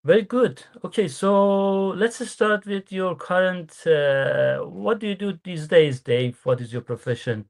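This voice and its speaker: A man's voice: high voice, measured pace, vocal fry, few pauses. A man whose first language is Persian, hosting a podcast in English with friendly, slightly unidiomatic phrasing. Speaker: podcast host.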